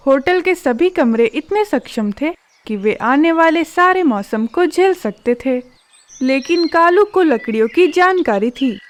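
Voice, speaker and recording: A woman narrating a children's cartoon story in a calm, even manner. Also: high-pitched, measured pace.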